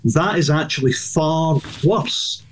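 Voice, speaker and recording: A man's voice: fairly high pitch, slow pace, a little hiss or hum. An older Scottish man, a former police superintendent, answering as a news interview guest in a blunt, emphatic way with repeated restarts.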